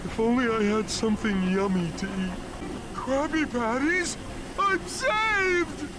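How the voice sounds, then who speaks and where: A dim, childlike cartoon starfish plays at being a superhero. The dopey voice sits very high and is naively exclamatory, over clearly noisy game audio. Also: male voice, takes it slowly. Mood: goofy, pleading. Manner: mock-heroic, naive, exclamatory. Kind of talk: cartoon video game acting.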